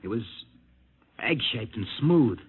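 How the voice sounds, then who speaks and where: An actor playing a shaken, earnest young male test pilot in a 1950s radio drama, with a husky voice. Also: mid-range voice, lively intonation, slow pace, exaggerated voice. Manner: earnest, urgent. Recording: tinny old radio broadcast.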